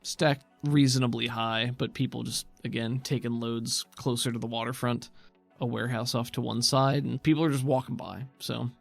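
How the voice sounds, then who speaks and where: A man's voice: mid-range voice, steady tone, normal speed, gravelly, soft background music. A man narrating a tabletop game as game master, descriptive and conversational, improvising as he goes.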